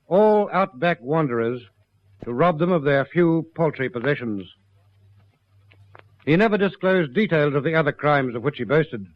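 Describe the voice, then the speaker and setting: A male narrator of a vintage radio crime series, his voice a little high. He reads formally, with grave, measured emphasis. The sound is dry and muffled. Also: no room sound. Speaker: narrator of a police drama series. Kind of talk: formal scripted 1950s-style radio narration.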